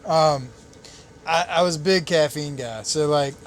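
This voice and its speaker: A man's voice: a little high, a little slow, slight background noise. Thirty-something man chatting on a boat. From Chesapeake Bay area, US. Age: 30s. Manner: relaxed, rambling, with restarts and laughs. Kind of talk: casual chat. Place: on a boat out on the water.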